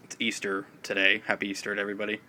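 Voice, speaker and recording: A man with a raspy voice and a cough, chatting in a casual, rambling way about films with many fillers. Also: lowish voice, completely flat delivery, very fast, sharp tone, a little hiss or hum, rough recording. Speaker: film vlogger. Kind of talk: casual video chat. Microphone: phone.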